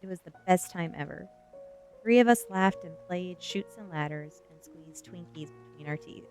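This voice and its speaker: A woman streamer in a soft ASMR style, chatting and reading a story aloud in a gentle, unhurried manner that is hushed at times. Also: on the low side. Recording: music behind the voice. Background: background music.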